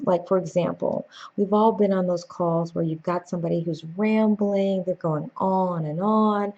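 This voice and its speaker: A woman's voice: medium pitch, creaky, a little mellow, breathy edge, highs cut off. A woman corporate trainer presenting practical tips in a conversational, emphatic way, speaking directly to the listener. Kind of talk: instructional tips presentation to camera.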